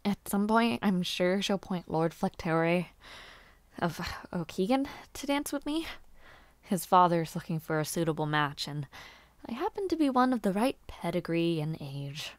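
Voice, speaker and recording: Woman acting a slow-paced, bashful young noblewoman. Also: on the low side, animated, dry recording. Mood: wry, bashful. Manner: bashful, halting stammers, giggly, formal old-fashioned wording. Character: young noble lady, aspiring knight, bashful and playful. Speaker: roleplay voice actor. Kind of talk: intimate scripted audio roleplay.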